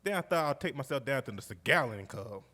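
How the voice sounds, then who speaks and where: An actor playing a jovial, warmhearted World War II veteran from Georgia with a Southern accent, spinning his memories in a folksy, exuberant way on stage. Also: mid-range voice, very animated, fast. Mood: reminiscing, earnest. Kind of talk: live stage storytelling monologue, conversational. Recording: live stage recording in recreation hall.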